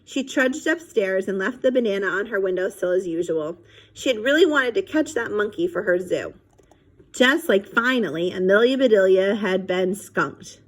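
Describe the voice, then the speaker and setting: A woman's voice: mid-range voice, moderate pace. Woman reading a children's story aloud. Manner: animated, acts out dialogue and sound effects. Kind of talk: expressive read-aloud with casual asides to young listeners.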